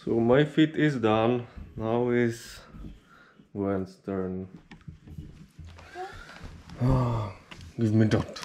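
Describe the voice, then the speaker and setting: Young man, mid-pitched voice, dry teasing manner, casual vlog, faint camera hiss. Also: steady tone, drawn-out words, pauses often, darkish tone. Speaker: vlogger. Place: small living room, indoors.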